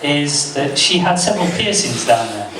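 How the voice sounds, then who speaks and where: A man's voice: fairly high pitch, sing-song, normal speed, heavy background noise. A man in his thirties doing a self-deprecating stand-up set at a comedy club, telling a story with frequent restarts. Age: 30s. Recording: loud echoey club from a far mic. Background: audience laughter.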